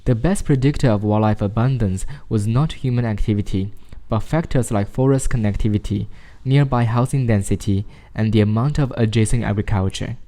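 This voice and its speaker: A man reading short study passages aloud in a measured, formal way. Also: lowish voice, mellow. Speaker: reader of practice passages. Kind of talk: scripted read-aloud of study texts.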